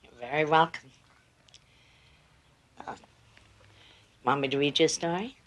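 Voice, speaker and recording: A woman playing a gentle visitor to a sick child in a television drama, with a playful storytelling manner. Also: deep voice, unhurried. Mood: kindly.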